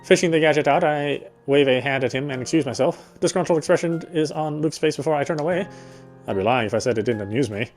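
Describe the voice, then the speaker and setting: Male game player as narrator: rapid read-aloud delivery with occasional stumbles, music underneath. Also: mid-range voice, darkish tone. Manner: stumbles and rereads phrases. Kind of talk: reading visual novel narration aloud.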